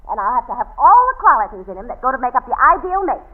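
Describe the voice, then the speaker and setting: A woman's voice: fairly high pitch, big pitch swings, racing. A woman playing a man-hungry, giddy spinster in a gushing, hesitant, coquettish 1940s radio comedy style. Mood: gushing, romantic. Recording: muffled 1940s radio broadcast.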